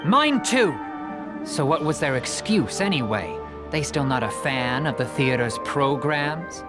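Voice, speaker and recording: Scrappy, defiant young man, cheeky and very lively in mid pitch, game dub over music. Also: unhurried. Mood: supportive, cheeky. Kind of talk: English anime game dub acting.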